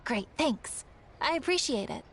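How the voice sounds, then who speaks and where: A woman's voice: high voice, expressive, unhurried, slight background noise. A woman playing a kindly, reassuring young townswoman in polished fantasy video game dialogue. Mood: helpful.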